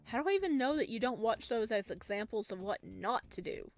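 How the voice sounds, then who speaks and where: A woman's voice: fairly high pitch, expressive, fairly quick. A woman playing a visual novel, reading it aloud and reacting in a chatty, informal way as she acts out the lines. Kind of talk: casual let's play, reading dialogue aloud with commentary. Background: faint music.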